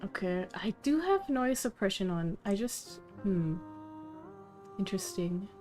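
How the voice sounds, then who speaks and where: A woman game streamer chatting casually on a live stream, halting and rambling with half-finished sentences. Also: mid-range voice, very animated, music in the background.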